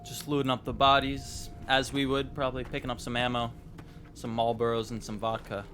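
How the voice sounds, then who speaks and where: A man's voice: mid-range voice, flat delivery, a little fast, a bit bright, soft background music. A man giving casual gaming reaction commentary in an informal, chatty, rambling way. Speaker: gaming YouTuber host.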